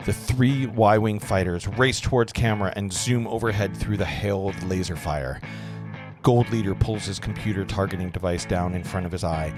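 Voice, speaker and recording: A man with a low voice reads screenplay action lines aloud in an informal, slightly bumbling way. Guitar music plays clearly behind him as he narrates. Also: moderate pace. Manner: informal, stumbles over words and jokes about it. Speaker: narrator of a table read. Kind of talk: reading screenplay stage directions aloud.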